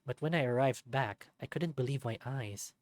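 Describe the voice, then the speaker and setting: A man reading a visual novel aloud as narrator, in an expressive, dramatic storybook style. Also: medium pitch, moderate pace, dry recording, studio quality.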